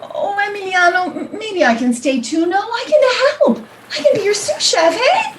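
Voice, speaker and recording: A woman's voice: very high voice, very animated, moderate pace. A woman playing a lovestruck, eager sweetheart in a radio play, gushing and insistent. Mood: pleading, eager. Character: pleading, lovestruck woman dreaming of her lover. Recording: faint background noise.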